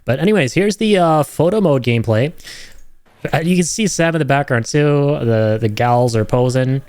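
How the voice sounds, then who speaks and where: A man's voice: medium pitch, moderate pace, a little mellow, no room sound. A man giving casual, enthusiastic reaction commentary over game footage in an informal, slangy, chatty way. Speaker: host of a gaming reaction channel.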